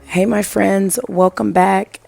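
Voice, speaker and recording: A woman in her thirties with a hoarse, gravelly voice from being sick, chatting casually over her home decorating video and asking viewers what they think. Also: mid-range voice, level tone, slow pace, darkish tone, soft background music. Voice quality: gravelly, husky. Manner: chatty, rambling, asks viewers questions. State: hoarse from illness.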